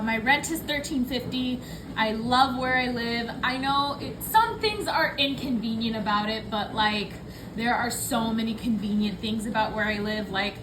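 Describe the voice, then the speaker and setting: A high-pitched woman in her early thirties talks loudly and casually, rambling with plenty of "like" and quick apologies. Her voice rings around a small, echoey apartment. A dishwasher hums clearly in the background. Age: early 30s. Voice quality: loud voice. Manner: rambling, chatty, apologetic, lots of "like". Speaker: budgeting YouTuber. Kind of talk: casual chat. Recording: echoey room with appliance noise. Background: dishwasher running.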